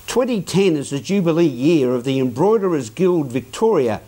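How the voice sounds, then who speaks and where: A man's voice: on the high side, very animated, normal speed, warm tone. A man with an Australian accent reading scripted links as a television news anchor.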